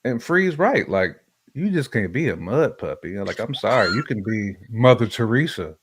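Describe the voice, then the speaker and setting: A man's voice: medium pitch, expressive, moderate pace, slight background noise. A man co-hosting a relationship podcast, chatting casually and colloquially with opinionated, slangy phrasing and repeats for emphasis.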